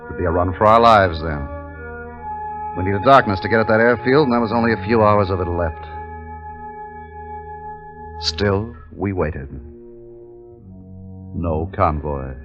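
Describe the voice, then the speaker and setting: An actor playing a weary, tense wartime army captain who also narrates, in 1950s radio drama style. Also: male voice, deep voice, a little slow, pauses often. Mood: tense, grim narration. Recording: muffled vintage 1950s radio recording, music behind.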